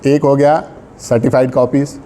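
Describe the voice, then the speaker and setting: A man's voice: medium pitch, flat delivery, moderate pace, mellow. A man with a Pakistani accent giving a law lecture, reading out provisions and explaining them didactically, with short checks for understanding. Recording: faint background noise.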